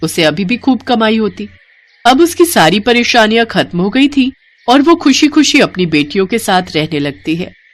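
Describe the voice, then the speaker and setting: A woman's voice: a little high, sing-song, normal speed. A woman narrating a scripted Hindi moral story as its storyteller.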